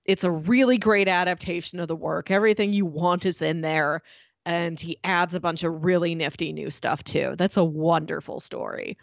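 A woman's voice: fairly low pitch, moderate pace, gravelly, no room sound. A woman co-hosting a podcast, chatting casually as she reviews and recommends. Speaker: podcast co-host.

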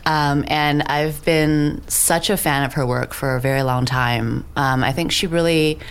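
Low-voiced, thoughtful woman. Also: a little slow, clean tone. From California Bay Area, born in Hong Kong. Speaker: stage actor, interview guest. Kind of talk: casual interview chat. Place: radio studio.

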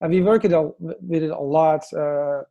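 Turkish-accented male founder, mellow tone, halting speech. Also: a little high, steady tone, creaky, highs cut off. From Turkey. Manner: halting, many fillers and restarts. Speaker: agency founder, guest. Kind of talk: interview answers.

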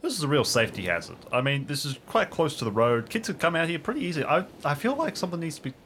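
A man's voice: on the high side, big pitch swings, quick pace, a bit bright, a little hiss or hum. A man playing an earnest, worried park ranger in his early twenties, fussy and concerned, in improvised audio drama.

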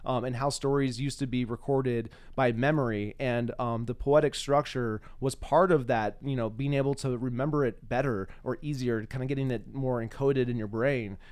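A man's voice: medium pitch, steady tone, normal speed, hardly any pauses, a little mellow, smooth. A man hosting a podcast, chatting casually and thinking aloud, at times reciting simple rhyming verse. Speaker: podcast host.

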